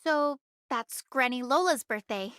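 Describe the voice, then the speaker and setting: A woman voicing a bold, mischievous young girl in an anime video game, with a perky, expressive delivery. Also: high voice, measured pace, clean tone, no room sound, clean studio sound. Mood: curious, teasing.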